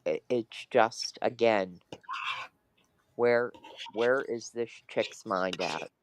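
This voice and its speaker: A woman hosting a casual political discussion, speaking in a halting, deliberate way. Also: rumbling low, no echo. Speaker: talk show host.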